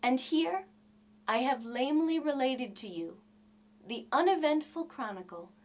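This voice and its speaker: Female narrator for literary short fiction, higher-pitched, expressive and deliberate, taking her time over each phrase. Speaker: reader of literature. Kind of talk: expressive audiobook narration of a classic short story.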